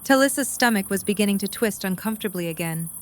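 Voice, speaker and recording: A woman's voice: medium pitch, animated, fast, a little hiss or hum. A woman reading scripted third-person story narration for an audio drama.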